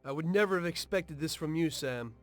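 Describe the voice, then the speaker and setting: An actor playing a betrayed, principled mobster in a game cutscene, earnest and bitterly defiant. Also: male voice, on the high side, a little fast, faint music behind, close to an everyday voice. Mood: shocked. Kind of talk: dramatic video game cutscene acting.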